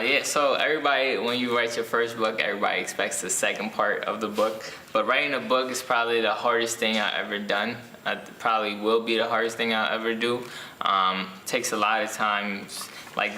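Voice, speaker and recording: A young man from Ethiopia, an author answering interview questions casually, pausing often with ums and restarts. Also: lowish voice, sharp tone, roomy sound, slight background noise, rough recording. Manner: hesitant, many ums and restarts.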